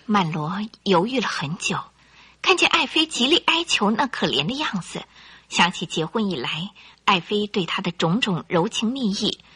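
A woman narrating a radio drama in an expressive, suspenseful storytelling style. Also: mid-range voice, moderate pace, slightly rough.

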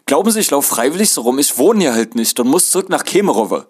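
A man's voice: lowish voice, fairly quick. Man playing a pleading young male traveller. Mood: exasperated. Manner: earnest, pleading.

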